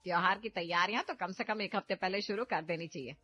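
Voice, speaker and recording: A woman's voice: fairly low pitch, fast talker, bright, forceful tone, lo-fi sound, faint music behind. A woman voicing a cheerful middle-aged mother-in-law who loves festivals, fussy and chiding.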